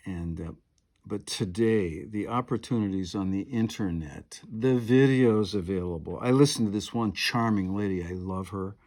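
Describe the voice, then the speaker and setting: A man's voice: on the low side, normal speed, a little mellow. A man in his seventies, a piano and harp player, talking at home in a meandering, chatty way with lots of fillers and asides. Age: 70s. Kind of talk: rambling monologue to camera.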